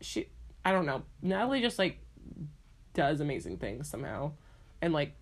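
A young woman with a fairly low voice, co-hosting a casual podcast. She rambles along, stacking up "like"s and poking fun at herself. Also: very animated, normal speed. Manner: rambling, full of "like" and self-mocking asides. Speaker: podcast co-host. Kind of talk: casual chat.

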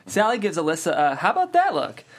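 A woman at a table read narrating stage directions in a measured, dry way. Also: lowish voice, wildly swooping pitch.

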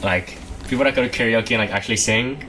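A man's voice: medium pitch, fast talker, over a noisy crowd. A young man chatting casually with friends, rambling with lots of fillers and frequent laughs. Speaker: friend in a group chat. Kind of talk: casual chat. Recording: noisy recording.

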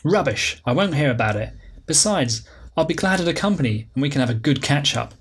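A man voicing a composed businesswoman, brisk and insistent, in a husky voice. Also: a little high, expressive.

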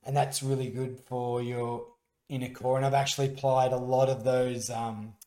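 An Australian man talks through his story as a radio guest, earnest and a little halting, often restarting his sentences. His voice sits at an ordinary pitch, and the studio adds a roomy echo around it. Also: little pitch movement, dark tone. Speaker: musician guest. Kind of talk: casual radio interview.

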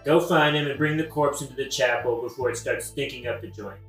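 A man's voice: medium pitch, a little fast, fairly bright tone, lightly acted. An actor playing a boastful, peevish king with a pompous, superlative-heavy way of talking in a satirical audio play. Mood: bossy, irritated. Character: boastful, self-congratulating, peevish modern-slang king. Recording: roomy, distant mic, music behind.

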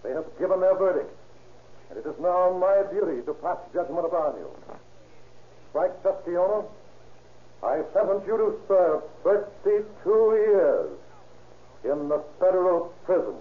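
High-voiced, solemn judge. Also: male voice, animated. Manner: formal, deliberate, grave. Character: solemn, stern male judge passing sentence. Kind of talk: 1930s radio crime drama acting. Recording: tinny, echoey, faintly noisy old radio recording.

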